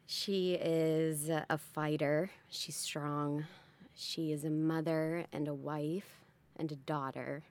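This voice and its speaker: A woman's voice: sits low, flat delivery, slow pace, a little mellow. A woman in her late twenties telling a personal story in an earnest, heartfelt way. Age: 20s. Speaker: interview participant and health advocate.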